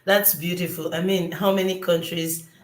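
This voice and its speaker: A woman's voice: lowish voice, flat delivery, moderate pace, reverberant. A woman from Nigeria hosting a live online chat over a video call, upbeat and welcoming. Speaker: host.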